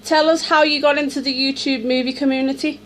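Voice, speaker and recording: A woman's voice: sits high, fairly even intonation, quick pace, a bit bright, a little hiss or hum. A woman interviewing a guest over a phone call, chatty and prompting, often laughing.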